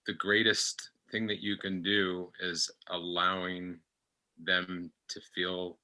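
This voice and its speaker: A man with a very deep voice and a strikingly bright, forceful edge speaks slowly as a reflective guest. He is halting, repeats phrases and searches for words, and his pitch stays very even. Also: rough recording. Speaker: podcast guest. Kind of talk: reflective personal discussion.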